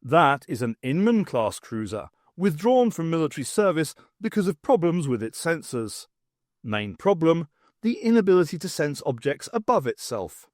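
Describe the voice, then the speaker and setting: A man's voice: on the high side, very animated, moderate pace, no echo. Man voicing a commanding alien pirate master, dry close sound. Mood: clinical. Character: clever, menacing tentacle-faced alien pirate master. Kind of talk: audiobook character voice.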